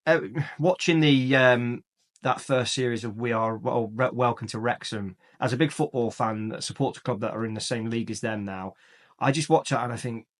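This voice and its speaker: Male co-host, average pitch, blunt opinionated banter. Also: moderate pace. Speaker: podcast co-host. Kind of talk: casual chat.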